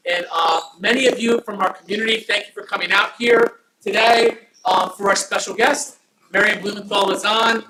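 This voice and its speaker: A man who says he has a very loud voice, introducing a guest to students in a school hall, with many fillers. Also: high-pitched. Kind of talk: spontaneous introduction. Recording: echoey hall from far off, noisy.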